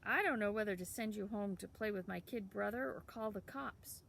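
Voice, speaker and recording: A woman's voice: mid-range voice, normal speed, creaky, fairly bright tone, slight background noise. A woman voicing a cocky, teasing teenage town boy who talks in a brash, slangy drawl.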